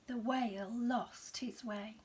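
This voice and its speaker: A woman reading a children's story expressively, in a measured, dramatic manner with hushed phrases. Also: a little high, fairly even intonation. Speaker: storybook reader.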